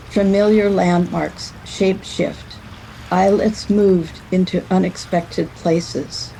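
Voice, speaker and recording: An older woman reads literary prose slowly and deliberately. Her voice is fairly low and mellow, with a clear creak in it. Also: a little hiss or hum, low-quality recording. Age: over 60. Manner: measured, deliberate phrasing. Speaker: writer reading own work. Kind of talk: literary reading of a prose memoir.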